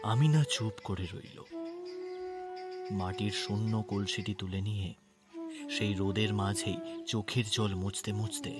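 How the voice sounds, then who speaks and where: A man narrating a literary story in a measured, expressive, dramatic way. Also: fairly low pitch. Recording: music bed under narration. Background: background music.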